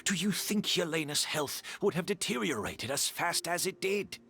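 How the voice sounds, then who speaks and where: An actor playing a frail, remorseful perfumer in a game, calm and earnest. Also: male voice, medium pitch.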